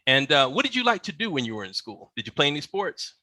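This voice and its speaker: A man's voice: on the high side, very animated, quick pace, sharp tone, no room sound. A man hosting a podcast, welcoming his guest and asking short, encouraging questions. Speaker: podcast host. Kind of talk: interview hosting.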